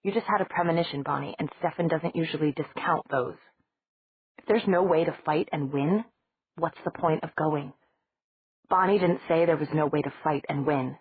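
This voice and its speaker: A woman reading an audiobook, voicing a level-headed young woman who talks quietly, ruefully and soberly. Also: deep voice, moderate pace, breathy edge. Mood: wry. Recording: dry, tinny phone-like sound.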